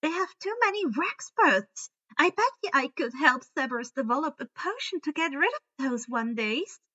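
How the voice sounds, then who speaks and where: A woman's voice: sits high, sing-song, normal speed, pushed and bright, highs cut off, voice pushed up, exaggerated voice. A woman voicing a dreamy, cheerful fairy girl who talks in an airy, sagely informative way. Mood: sagely musing.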